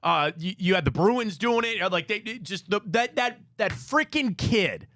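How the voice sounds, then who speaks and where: Male sports talk host with a Cleveland Midwest accent: raised voice, very lively pitch, opinionated, rambling. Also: moderate pace, no room sound, studio quality. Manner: animated, opinionated rambling with restarts. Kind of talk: casual sports talk chat.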